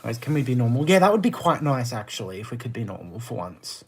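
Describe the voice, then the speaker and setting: A man's voice: mid-range voice, very animated, fast talker, husky. A man with an Australian accent chatting casually on a livestream, answering viewer comments in a blunt, exasperated, emphatic way. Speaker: live streamer.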